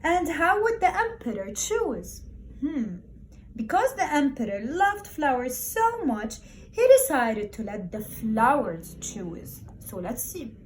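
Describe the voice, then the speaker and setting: Lady teacher doing a read-aloud for little kids, kinda high voice, really slow, encouraging and expressive, repeats stuff. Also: a little hiss or hum. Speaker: primary school teacher. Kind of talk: encouraging talk and read-aloud storytelling for young children.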